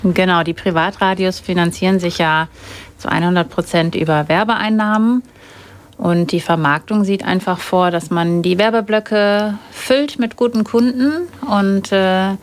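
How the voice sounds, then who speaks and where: A woman in her forties, a guest on a radio show, talking in a relaxed, matter-of-fact way. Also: medium pitch. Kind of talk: casual radio interview.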